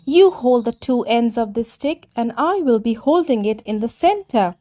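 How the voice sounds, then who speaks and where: An eager, talkative tortoise from a children's storybook, played by a woman reader. He speaks in a raised pitch, earnest and sure of himself, reassuring his friends. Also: expressive, normal speed, mellow, lightly acted. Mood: eager, persuasive. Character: talkative, eager, overconfident male tortoise with a plan.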